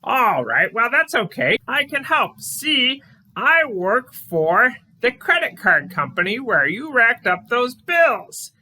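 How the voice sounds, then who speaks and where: A woman's voice: fairly high pitch, big pitch swings, slow pace, sharp tone, voice pushed up, exaggerated voice, low-quality recording. A woman voicing an odd, hairy fairy godmother in a puppet show, bossy but loving, speaking emphatically in backwards word order. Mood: reassuring.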